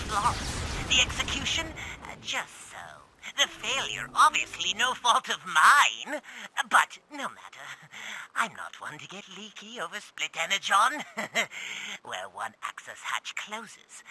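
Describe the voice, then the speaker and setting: A man voicing a boastful, scheming male robot villain in a video game cutscene, with a husky, reedy voice and a theatrical, self-praising, gloating manner. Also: very high voice, sharp tone, heavily acted, low-quality recording.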